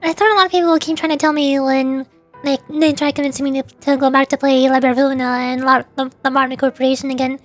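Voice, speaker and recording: A woman's voice: sits high, little pitch movement, fast talker. A woman chatting casually on a livestream as a VTuber game streamer, in a giggly, rambling way. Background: faint music.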